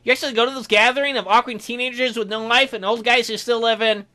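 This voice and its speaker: A man's voice: very high-pitched, steady tone, fast talker, pushed and bright, voice pushed up. A man voicing an awkward, skeptical young male game protagonist who teases and stammers. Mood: teasing. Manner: hesitant stammering mixed with glib mockery. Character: awkward, skeptical, teasing teenage guy protagonist.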